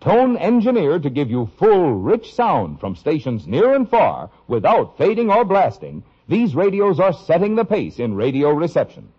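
An American man delivering an emphatic 1950s radio advertising pitch on a dull old recording. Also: wildly swooping pitch, normal speed, hardly any pauses, husky. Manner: emphatic, salesmanlike. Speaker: commercial announcer.

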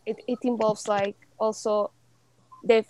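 A young woman from Mexico in casual conversation, chatty, with many fillers and an animated, often laughing delivery. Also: mid-range voice, a little slow, dry recording, rough recording. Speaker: podcast guest.

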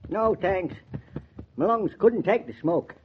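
A man's voice: high voice, lively intonation, slow pace, slight background noise. An actor playing a cantankerous, morbid man in his eighties with a rural American accent, folksy and grumbling in 1940s radio drama style. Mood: morose, stubborn. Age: 80s. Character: cantankerous, morbid old rustic man of eighty-six, stubborn neighbor. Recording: dull, lo-fi 1940s radio recording.

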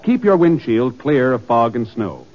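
A man's voice: medium pitch, big pitch swings. Male 1950s announcer, mid-range, formal and earnest scripted read, dull vintage broadcast recording. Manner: formal, earnest, measured phrasing. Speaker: radio announcer.

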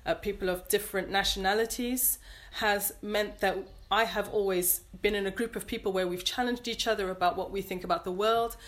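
A woman's voice: medium pitch, fairly even intonation, fairly quick, hardly any pauses. Thoughtful English woman, earnest. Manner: earnest, thoughtful, searching for words. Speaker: guest. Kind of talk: reflective interview conversation.

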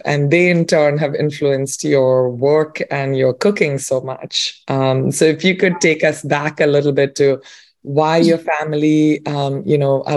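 A woman hosting a podcast interview, friendly and warm in her questions, with many ums. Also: deep voice. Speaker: podcast host.

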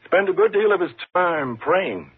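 An actor playing a calm, measured, patronizing prison warden in a 1950s radio thriller. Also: male voice, high-pitched, slightly rough, full character voice. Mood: grave, firm. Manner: measured, reassuring, condescending. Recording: muffled, lo-fi 1950s radio recording.

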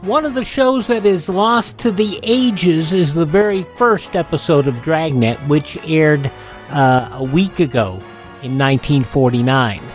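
A man's voice: fairly high pitch, unhurried, faint music behind. A man narrating as a radio host, reading scripted historical notes.

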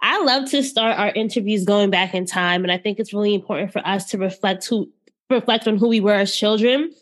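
A woman's voice: mid-range voice, brisk, no echo, clean studio sound. A woman hosting an interview podcast, talking in an upbeat, conversational way and asking eager questions. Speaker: podcast host. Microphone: studio podcast mic.

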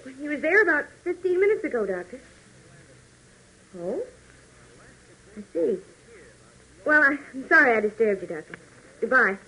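An actress playing a sensible, composed young woman in a 1940s radio adventure, with a slightly husky voice. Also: sits high, sing-song, normal speed, pauses often, sharp tone, exaggerated voice, poor audio. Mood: polite, concerned. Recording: band-limited old 1940s radio recording.

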